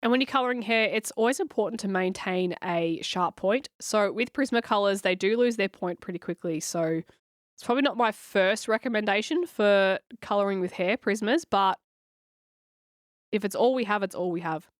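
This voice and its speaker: Hoarse woman, dry close voice. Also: medium pitch, moderate pace, dry recording. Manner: chatty, encouraging, reassuring. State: hoarse from a cold, scratchy. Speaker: coloring channel host. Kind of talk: voice-over coloring tutorial.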